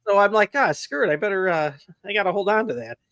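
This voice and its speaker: A man's voice: high-pitched, expressive, quick pace, fairly bright tone, dry recording. A man co-hosting a casual horror podcast, chatty and easygoing, a bit sniffly from allergies. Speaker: podcast co-host.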